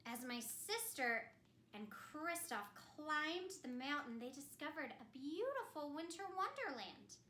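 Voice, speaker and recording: A woman performs a children's story as an ice queen. Her voice is high and lively, and she speaks slowly and theatrically, in simple words meant for young listeners. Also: a little hiss or hum. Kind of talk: animated first-person children's storytelling. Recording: roomy echo.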